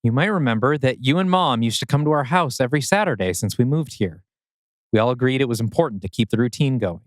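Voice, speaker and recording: Man playing an anguished, accusing young father, animated delivery. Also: mid-range voice, fast talker, no room sound, natural voice. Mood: bitter, accusing. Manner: intense, pointed questioning. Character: anguished 39-year-old father, bitter and accusing. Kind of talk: dramatic audio script reading.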